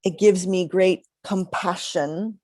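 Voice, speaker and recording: A middle-aged woman minister giving a reflective, conversational sermon with pauses, a little congested from a cold. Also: on the low side, fairly even intonation, darkish tone, no room sound, studio quality.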